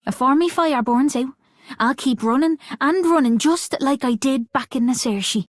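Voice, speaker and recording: A woman's voice: sits high, moderate pace, dry recording. A woman voicing a spirited, restless young runner with rustic Irish speech in a game. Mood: wistful, spirited. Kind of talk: game character voice acting.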